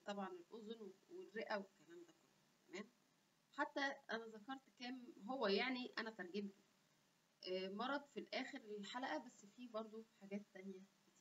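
A woman's voice: medium pitch, slight background noise. A young woman with an Egyptian Arabic accent hosting a casual health vlog, talking animatedly and chatty with asides, recorded on a webcam in a small room. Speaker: health channel host. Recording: dull lo-fi webcam audio.

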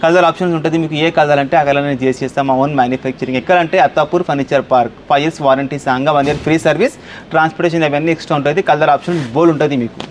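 A man in his thirties with a Hyderabad Telugu accent gives an unscripted furniture sales pitch, insistent and repetitive, peppered with English words. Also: fairly even intonation, racing. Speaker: furniture shop owner and salesman. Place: large indoor furniture showroom. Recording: clear background room noise.

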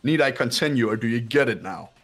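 A man's voice: mid-range voice, brisk, fairly bright tone, soft background music, lightly acted. A man voicing a cold, haughty rich teenage boy in a visual novel dub, condescending and aloof.

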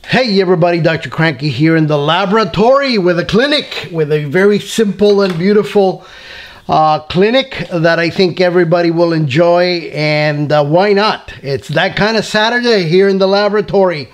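A man's voice: high voice, moderate pace. A middle-aged man hosting a casual workbench hobby tutorial, rambling with many ums and uhs and friendly asides. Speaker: scale model hobby host. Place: workbench in home workshop.

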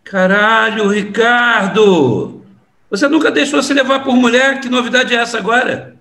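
A man's voice: extremely high pitch, normal speed, bright, forceful tone, exaggerated voice. An actor playing a cynical, streetwise middle-aged crook and father in an audio drama, taunting and slangy. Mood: teasing.

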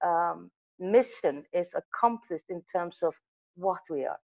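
A woman's voice: fairly low pitch, a little slow, vocal fry, highs cut off. A middle-aged Icelandic woman, a magazine editor, telling stories animatedly over a video call with an earbud mic, often restarting her sentences. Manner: animated storyteller, gestures, restarts and self-corrections. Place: room with wall of photos. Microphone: earbud headset mic on video call.

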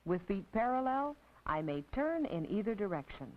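An American woman narrating an instructional film as a teacher, in a precise, didactic, scripted manner with measured phrasing. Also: medium pitch, big pitch swings, slight background noise. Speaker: narrator and physical education instructor. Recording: tinny, band-limited 1950s film soundtrack.

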